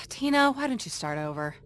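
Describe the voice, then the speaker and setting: Level-headed, higher-voiced young adventurer. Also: female voice, sing-song, moderate pace. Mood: exasperated. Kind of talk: video game voice acting.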